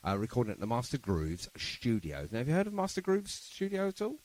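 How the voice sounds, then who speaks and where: A man's voice: medium pitch, wildly swooping pitch, brisk, a little mellow. A man hosting a casual radio interview in a studio, talking in a chatty, rambling, enthusiastic way. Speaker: radio show host.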